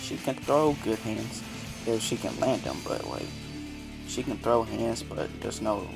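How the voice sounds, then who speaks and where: A man reading the lines of a calm, confident young male ninja in his own raspy voice. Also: lowish voice, measured pace, music in the background, rough recording. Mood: matter-of-fact.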